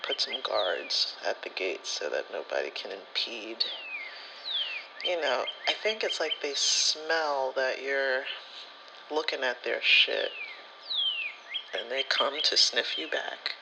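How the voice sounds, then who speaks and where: A man's voice: mid-range voice, sing-song, takes it slowly, creaky, background noise. A man telling personal stories in a casual, candid, rambling way, with slangy, sweary phrasing. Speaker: spirituality content creator. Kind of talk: casual personal storytelling, ASMR vlog.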